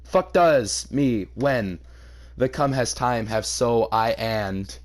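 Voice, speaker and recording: A man doing a comedic dramatic reading of fan fiction, theatrical and profane, breaking into incredulous high-pitched outbursts. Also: slow.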